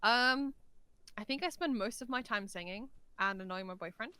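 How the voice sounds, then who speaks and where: A woman's voice: fairly high pitch, normal speed, pushed and bright. A woman chatting casually and effusively as a podcast guest, with a giggly, talkative manner. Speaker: guest voice actor.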